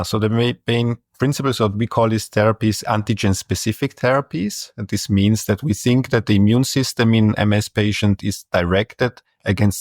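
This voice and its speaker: A man's voice: on the low side, dry recording, studio quality. A middle-aged man from Austria with an Austrian German accent, a neurologist answering interview questions in a hesitant way with frequent restarts. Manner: hesitant, many restarts and fillers. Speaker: neurologist and guest.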